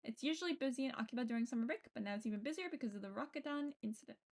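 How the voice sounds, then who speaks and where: A woman's voice: fairly high pitch, very fast, darkish tone. A woman streamer reading a visual novel's narration aloud live. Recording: music under the voice. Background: game music.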